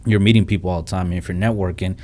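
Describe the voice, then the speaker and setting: A man's voice: low voice, steady tone, rapid-fire, darkish tone. A young man talking as a real estate investor guest in a casual interview, blunt and plain-spoken, often restarting his sentences and dropping in "like" and "man.". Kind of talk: casual podcast interview talk.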